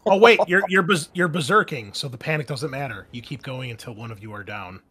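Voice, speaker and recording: A man's voice: mid-range voice, a little fast, bright, forceful tone, husky. A man narrating a tabletop roleplaying game as game master, conversational and improvising rulings as he goes.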